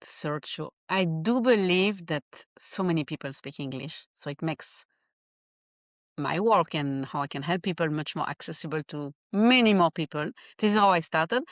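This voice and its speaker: A woman's voice: low voice, normal speed, no room sound, lo-fi sound. Swiss French woman, chatty and laughing. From Geneva, Switzerland. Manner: animated, laughs often, searches for words. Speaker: life coach guest. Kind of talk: casual interview chat.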